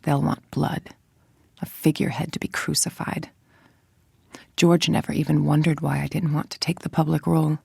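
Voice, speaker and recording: A woman playing a cold, ambitious scientist villain in a video game, speaking in a measured, precise, menacing way. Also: sits low, little pitch movement, vocal fry, a little mellow, breathy edge, no echo, clean studio sound, exaggerated voice. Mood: guarded, scheming. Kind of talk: video game voice acting, monologue.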